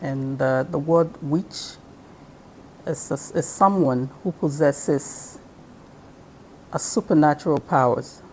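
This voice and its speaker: A woman from Nigeria, a guest in an interview, explaining her spiritual practice slowly and carefully with many ums and uhs. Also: sits low, a little mellow, slight background noise, low-quality recording. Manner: slow and measured, with frequent um and uh fillers.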